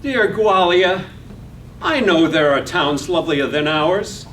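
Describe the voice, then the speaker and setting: A gentle elderly bard of a preacher, played by a man, recites verse with fond earnestness. The voice is high and unhurried. The mic is far off, with a faint rumble. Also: animated, heavily acted. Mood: reverent, fond. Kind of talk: verse recitation in a stage-read radio play.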